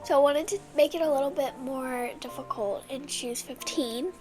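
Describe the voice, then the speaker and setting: A young woman with a noticeably high voice talks in a loose, chatty ramble, often restarting her sentences. Her head cold makes her sound stuffy and congested, and soft music plays faintly behind her. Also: normal speed. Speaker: book vlogger. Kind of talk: casual chat.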